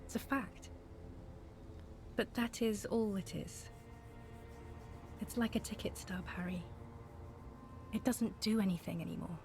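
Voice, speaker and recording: An actress voicing a haunting former lover in a video game, speaking softly and breathily. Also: female voice, medium pitch, unhurried, pauses often, over music, natural voice. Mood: tender. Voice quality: breathy, whispering. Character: lost former lover, wistful, haunting woman.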